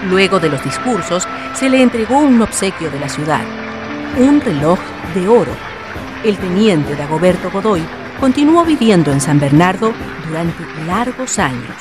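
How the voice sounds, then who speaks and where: A narrator tells a historical tale in scripted radio drama style. Music plays clearly behind the voice. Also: mid-range voice, very animated, normal speed.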